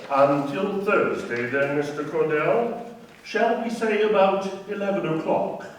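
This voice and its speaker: An actor playing a measured, formal, deliberate office businessman in a radio play. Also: fairly high pitch, background noise, close to an everyday voice. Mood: businesslike. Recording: very echoey, distant from the mic.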